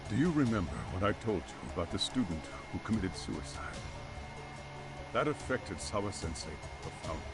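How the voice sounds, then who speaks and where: A man playing a solemn, formal school chairman in a video game, speaking gravely and deliberately. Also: lowish voice, lively intonation, over music, natural voice. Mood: solemn, pleading. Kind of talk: video game dub acting.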